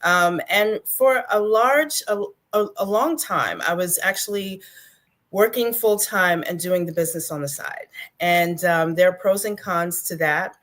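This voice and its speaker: A woman's voice: on the low side, a little slow, a bit bright. Upbeat storytelling woman guest. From Brooklyn, New York. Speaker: guest, networking consultant. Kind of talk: livestream interview talk. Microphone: video call.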